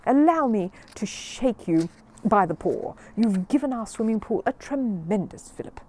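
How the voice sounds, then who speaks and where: A woman's voice: fairly high pitch, animated, moderate pace, mellow, slight background noise, pitched above the performer's own voice, close to an everyday voice. Storybook headmistress, female, hearty and formal, swooping delivery; played by a woman reader.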